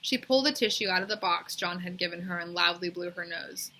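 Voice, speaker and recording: A woman reading a children's story aloud as a teacher, in expressive narration. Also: fairly low pitch, a little fast.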